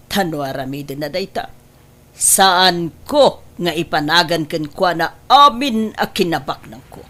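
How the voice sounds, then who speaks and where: An actress playing a controlling middle-aged mother in an Ilocano audio drama, insistent and deliberate, with an Ilocano accent. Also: lowish voice.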